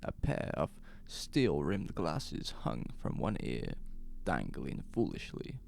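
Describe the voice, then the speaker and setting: A man narrating a scripted horror story in a measured, suspenseful way. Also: rumbling low, wildly swooping pitch, creaky, darkish tone.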